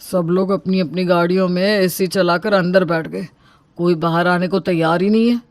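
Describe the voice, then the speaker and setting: Man as a high-pitched, brisk cartoon father. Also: steady tone, dry recording. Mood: brisk concern. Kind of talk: Hindi animated comic story dialogue.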